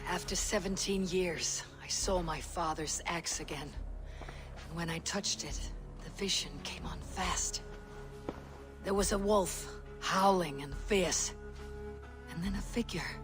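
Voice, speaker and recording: An actress voicing a steady, stoic female Viking warrior in a video game, measured and dry in manner. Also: lowish voice, over music. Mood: troubled, hesitant. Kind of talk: video game character acting.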